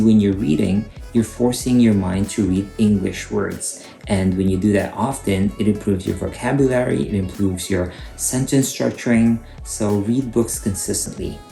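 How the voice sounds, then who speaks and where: Gravelly-voiced Filipino man giving advice. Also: on the low side, mellow. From Philippines. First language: Cebuano. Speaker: YouTube host, HR coach. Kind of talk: scripted advice talk to camera. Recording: echoey room with faint guitar music.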